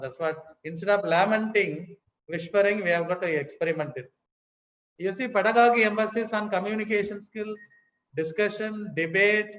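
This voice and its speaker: A man's voice: high-pitched, fairly bright tone, a little hiss or hum. Emphatic Indian man in an echoey hall. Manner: emphatic, repeats key words, many pauses. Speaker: academic speaker. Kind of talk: formal lecture.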